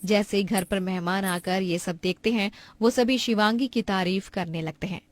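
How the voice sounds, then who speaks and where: A woman's voice: mid-range voice, moderate pace. A woman giving scripted narration for a cartoon family story.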